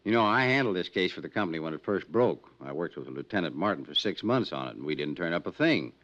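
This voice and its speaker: Muffled vintage radio sound frames a fretful, friendly American insurance man. The voice is on the low side and rises and falls expressively, earnest in the manner of 1950s radio drama. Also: very animated, quick pace, fairly bright tone. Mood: worried. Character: anxious, friendly male insurance company man.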